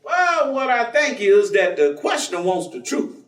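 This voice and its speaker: An actor playing a warm, devout, folksy man, teasing and preaching in a conversational staged reading. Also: extremely high pitch, sing-song, unhurried, full character voice. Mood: earnest, reflective. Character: warm, devout, folksy man, witty self-styled outlaw believer. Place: theatre stage. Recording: echoey stage recording from far off.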